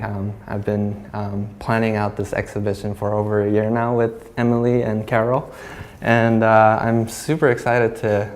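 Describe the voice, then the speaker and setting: A young Japanese man from Hong Kong, a glass artist, giving a halting talk about his work in a gallery, with many fillers and restarts. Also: lowish voice, slight background noise.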